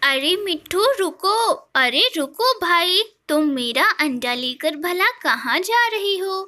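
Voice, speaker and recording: A woman acts an anxious mother bird in a cartoon fable. The voice is high, bright and swooping, plaintive and pleading over a wrong done to her. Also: animated, slow pace, exaggerated voice. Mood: alarmed. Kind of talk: Hindi cartoon story voice acting.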